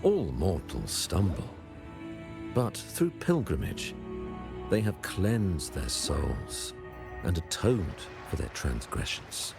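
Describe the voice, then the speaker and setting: A man playing a stately older high priest in a fantasy video game, speaking in grave, formal, measured oratory. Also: very animated, vocal fry, music in the background, heavily acted. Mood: solemn, reassuring. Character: solemn, stately high priest of a religious order. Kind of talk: theatrical fantasy game dialogue.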